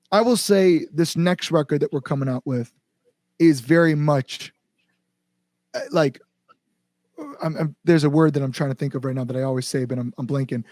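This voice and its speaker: Guy being interviewed, kinda high voice, rambles sincerely, repeats himself, no room echo at all. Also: a little mellow, clean studio sound. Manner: rambling, earnest, repeats words for emphasis. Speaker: band frontman and songwriter, podcast guest. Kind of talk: casual podcast interview chat.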